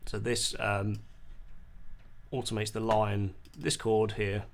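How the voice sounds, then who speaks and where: A man presenting an informal music software demonstration, explaining in a hesitant, halting way. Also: lowish voice, level tone. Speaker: music producer, tutorial presenter.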